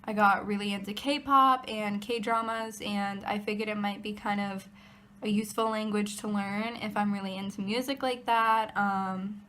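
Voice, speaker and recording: A young American woman in her twenties chats casually to camera, rambling through her thoughts with frequent fillers and restarts. Her voice sits in an ordinary middle pitch. A faint hiss of room noise stays under the recording. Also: steady tone, normal speed, fairly bright tone. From United States. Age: 20s. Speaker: vlogger, college student. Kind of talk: casual direct-to-camera vlog.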